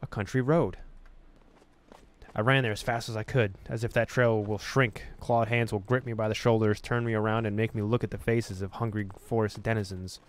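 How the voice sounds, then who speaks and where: A man reading a horror visual novel aloud as a gaming host, with expressive storytelling and casual delivery. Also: on the low side, moderate pace.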